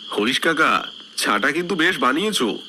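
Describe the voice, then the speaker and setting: A man's voice: a little high, big pitch swings, normal speed. A man playing a good-natured young married villager chatting casually in a cartoon. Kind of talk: cartoon dialogue. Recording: noisy night ambience with insects.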